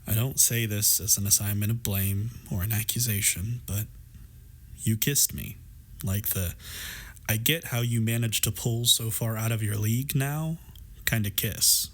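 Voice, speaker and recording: Man playing a low-voiced, teasing, supportive best friend. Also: a little slow. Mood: earnest, gentle. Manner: playful, affectionate, wry, reassuring. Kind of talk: intimate scripted roleplay audio drama.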